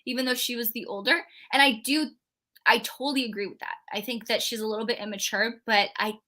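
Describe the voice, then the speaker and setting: Bright-voiced woman chatting about books. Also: a little high, steady tone, fast. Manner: chatty, rambling, many fillers and restarts. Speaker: book club co-host. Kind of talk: casual live book discussion.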